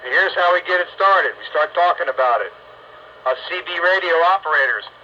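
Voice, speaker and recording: A casual American man on CB radio with a very sharp, piercing tone and a higher pitch. The signal is dull with a little noise. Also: lively intonation, brisk. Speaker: CB radio operator. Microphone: CB radio microphone. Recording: dull, faintly noisy CB radio transmission.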